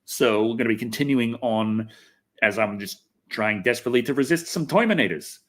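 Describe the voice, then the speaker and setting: American male livestream host, very lively pitch swings, rambling, self-deprecating, casual swearing. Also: a little fast. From Texas, United States. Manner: self-deprecating, swears freely, thinks aloud. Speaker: livestream host and video reviewer. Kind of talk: casual rambling livestream chat answering viewers.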